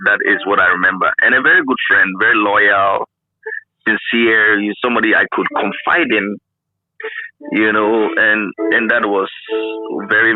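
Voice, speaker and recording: A middle-aged man reminiscing as an interview guest in casual conversation, effusive and emphatic, often repeating his phrases. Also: fairly low pitch. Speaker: interview guest, former radio broadcaster. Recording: thin, narrow phone-like line.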